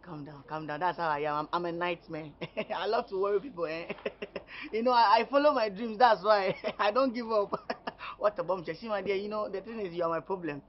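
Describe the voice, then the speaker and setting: A man's voice: high voice, fairly quick, a little hiss or hum, lightly acted. A man playing a giggly, pushy flirt. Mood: teasing. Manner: laughing often, playful teasing. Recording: dull audio with faint ambience.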